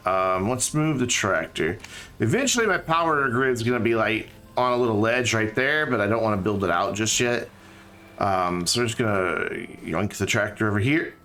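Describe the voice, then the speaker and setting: A man's voice: on the low side, a bit bright. Tired man rambling over his game. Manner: rambling, thinking aloud, many fillers and restarts. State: tired, slightly scratchy throat. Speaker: streamer. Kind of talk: casual gameplay chat.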